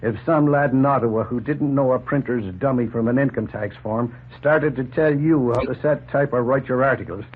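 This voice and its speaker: An actor playing a plain-spoken, opinionated middle-aged farmer in a folksy, emphatic 1940s radio drama style. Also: male voice, medium pitch, normal speed, few pauses, slightly rough, lightly acted. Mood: calmer, persuasive. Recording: noisy, tinny 1940s radio recording.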